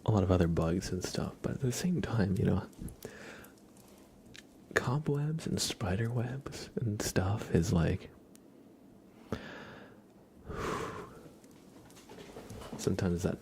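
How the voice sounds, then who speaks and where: A man chatting casually on an ASMR livestream, soft-spoken and halting, often slipping into a whisper. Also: sits low, slight background noise.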